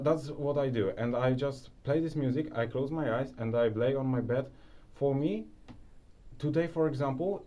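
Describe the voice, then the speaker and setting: A man's voice: lowish voice, mellow. A young man with a Polish accent, casually sharing advice as a video creator, rambling with lots of restarts and fillers. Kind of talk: casual talking-head advice.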